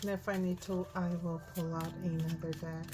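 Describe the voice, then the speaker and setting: A hoarse woman reading tarot cards aloud, thinking out loud and often asking "right?". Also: fairly low pitch, level tone, moderate pace. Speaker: tarot reader. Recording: music in the background.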